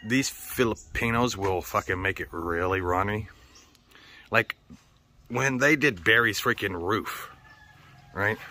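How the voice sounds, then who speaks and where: A man's voice: on the low side, sharp tone. A man talking casually and ramblingly to his viewers as a vlogger, blunt, slangy and full of swearing. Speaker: vlogger hosting a channel.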